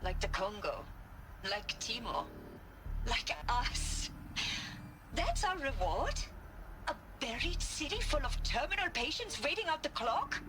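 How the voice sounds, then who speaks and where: Zgorzkniała, zrozpaczona naukowczyni z gry. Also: female voice, high voice, very animated, a little slow, long pauses, noisy background. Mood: bitter. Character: bleak, despairing woman scientist refusing to live on. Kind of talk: video game voice acting. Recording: music behind the voice. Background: game soundtrack music.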